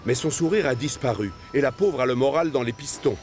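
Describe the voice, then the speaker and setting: A man narrating a children's cartoon story from a script, as an expressive storyteller. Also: mid-range voice, a little fast. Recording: music behind the voice.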